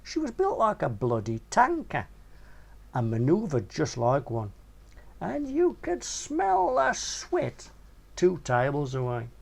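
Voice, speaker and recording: A man's voice: slow pace, creaky. A man reading his own crude first-person short story in a casual, slangy way. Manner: crude, casual narration with animated swings in pitch.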